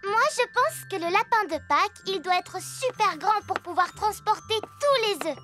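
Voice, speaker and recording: A woman's voice: very high-pitched, animated, bright, forceful tone, exaggerated voice. A woman voicing a curious, cheerful little girl rabbit in a French cartoon dub, with music underneath. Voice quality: childlike, slow. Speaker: voice actor. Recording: music bed under dialogue.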